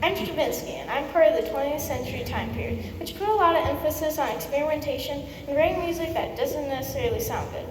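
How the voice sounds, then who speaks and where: A woman's voice: high-pitched, expressive, quick pace, hardly any pauses. A young girl of about eleven playing a grouchy, self-important composer in a scripted comic school play. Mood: defiant, proud. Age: child, about 11 or 12. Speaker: student performer in readers theater. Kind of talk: scripted comic stage recitation. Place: school performance in a large echoey room. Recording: echoey hall, distant mic, faint noise.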